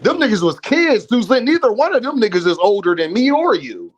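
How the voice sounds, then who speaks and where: A man's voice: sits high, sing-song, brisk. Emphatic man ranting on a boxing stream. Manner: emphatic, swears freely, repeats names. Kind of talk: casual panel chat.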